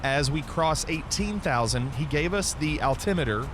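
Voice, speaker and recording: A man's voice: a little high, animated, normal speed, noisy background. A man streaming a flight simulator live, chatting casually and answering viewer comments between radio calls.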